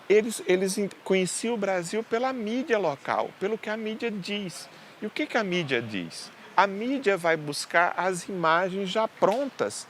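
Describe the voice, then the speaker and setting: A man's voice: sits high, a little hiss or hum. A man from Northeast Brazil, interviewed for a documentary, explains in a lecturing way and keeps asking rhetorical questions. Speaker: interviewed researcher.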